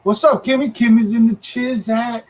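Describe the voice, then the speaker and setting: A man in his thirties co-hosting a casual livestream, joking and chattering fast with his friends and the chat. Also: very high voice, fairly even intonation. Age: 30s. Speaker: livestream co-host.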